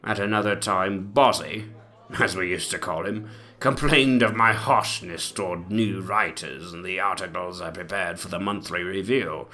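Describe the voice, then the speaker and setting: A man playing a very old, rambling gentleman memoirist with a pompous, old-fashioned manner in a dramatic reading. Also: deep voice, normal speed. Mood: reminiscing, pompous.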